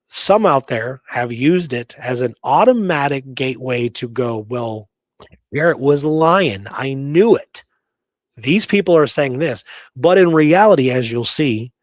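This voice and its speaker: A man's voice: medium pitch, dry recording. Emphatic man discussing history, dry close sound. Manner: emphatic, opinionated, repeats points for emphasis. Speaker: podcast host explaining frontier history.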